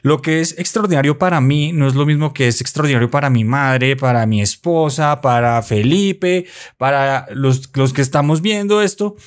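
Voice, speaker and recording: A man in his forties from Colombia with a Bogotá accent, a podcast guest telling his story in animated storytelling chat with playful asides, recorded on a desk mic in a small studio. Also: medium pitch, moderate pace. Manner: animated, gestures while talking, playful asides. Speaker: guest, motorcycle traveler. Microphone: desk mic close by.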